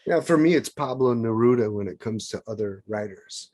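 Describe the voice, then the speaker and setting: A man hosting a music interview on a video call from his home studio, relaxed and encouraging. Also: on the low side, moderate pace.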